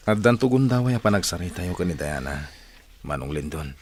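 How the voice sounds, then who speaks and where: A man's voice: low-pitched, animated, fairly quick, husky. An actor playing a concerned, loyal younger man, earnest and respectful, in an Ilocano-accented radio drama. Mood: gentle, earnest. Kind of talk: Filipino radio drama acting.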